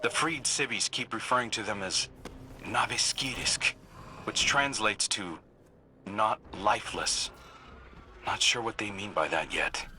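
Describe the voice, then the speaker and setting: An actor playing a resolute, principled commander giving earnest mission briefings in a video game. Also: male voice, lowish voice, measured pace, bright, forceful tone, slight background noise. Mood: focused, measured.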